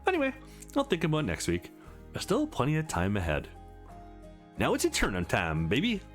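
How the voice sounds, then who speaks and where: A man's voice: fairly high pitch, huge pitch swings, fairly quick, soft background music, voice pushed up. A man voicing an eager, slightly unsure young male protagonist of a visual novel game. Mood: upbeat, musing.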